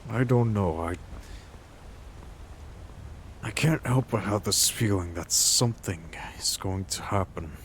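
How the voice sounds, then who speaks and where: A man voicing an earnest, wistful mercenary adventurer in his thirties for a fantasy game roleplay, in a halting, reflective way. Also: on the low side, a little hiss or hum. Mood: musing. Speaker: role-playing gamer voicing his character. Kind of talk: in-character fantasy game monologue.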